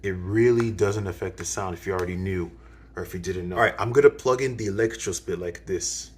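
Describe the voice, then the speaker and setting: A man's voice: low-pitched, brisk. A man casually demonstrating gear as a video host, going step by step and repeating himself. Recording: clearly noisy recording.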